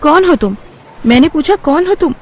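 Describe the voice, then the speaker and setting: Lady doing a scared young bride for a horror cartoon, high n urgent, really swoopy. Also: animated, moderate pace, a little mellow, a little hiss or hum. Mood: frightened. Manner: anxious, insistent, questioning. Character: frightened young bride new to a haunted mansion.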